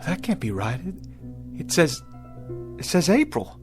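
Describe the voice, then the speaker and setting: An actor playing a bewildered, desperate pioneer man in a radio drama, halting and repeating words in agitation. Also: a little high, huge pitch swings, music in the background. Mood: stunned disbelief.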